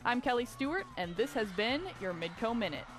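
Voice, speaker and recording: A woman's voice: fairly high pitch, expressive, fairly quick, music in the background. A woman hosting a short scripted sports news segment.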